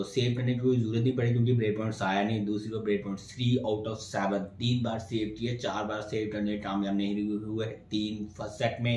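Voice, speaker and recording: A man's voice: lowish voice, a little mellow. A young man giving chatty post-match tennis analysis to camera, talking to viewers as friends, from a small indoor room. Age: young adult. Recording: echoey room with faint background noise.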